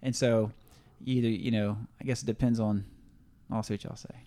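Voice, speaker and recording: A man's voice: lowish voice, little pitch movement, normal speed, mellow. A man co-hosting a podcast, speaking casually and thinking aloud as he sets up a question. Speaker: podcast co-host. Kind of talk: casual chat.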